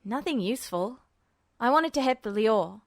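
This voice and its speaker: A woman voicing a brash, irritable young male alchemist in a podfic reading, defensive and quick. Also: on the high side, lively intonation, dry recording, studio quality. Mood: huffy.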